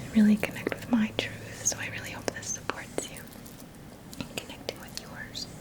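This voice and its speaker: A woman whispering breathily in a soothing ASMR style as a Reiki teacher guiding an energy-healing session, often repeating soft phrases. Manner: slow, soothing, repeats words and phrases in a trailing murmur.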